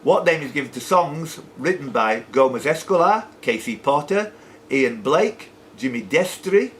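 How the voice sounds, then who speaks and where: A man's voice: on the high side, lively intonation, measured pace, fairly bright tone. A man hosting a live quiz, reading out questions and throwing in jokey, chatty asides. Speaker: quiz host and question reader. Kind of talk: live pub-style quiz reading with off-the-cuff banter. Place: sports and social club room. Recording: noisy live room recording.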